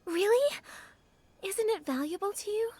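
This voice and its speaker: High, unhurried, gentle young heroine. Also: female voice, lively intonation. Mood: polite, curious. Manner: polite, earnest. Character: gentle, polite young female stylist heroine. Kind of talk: video game character acting. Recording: music behind the voice.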